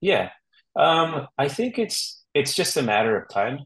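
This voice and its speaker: A middle-aged man from Honduras, a real estate agent chatting as an interview guest in a relaxed, rambling way with fillers and restarts. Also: fairly high pitch, unhurried. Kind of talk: casual interview chat.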